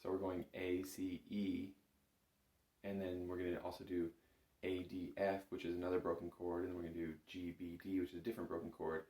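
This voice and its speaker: A man with a low voice teaches music informally in a roomy, echoing space. He explains each step conversationally, in an encouraging and modest manner. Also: monotone, a little fast, warm tone. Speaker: bagpipe instructor. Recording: roomy, echoing indoor sound.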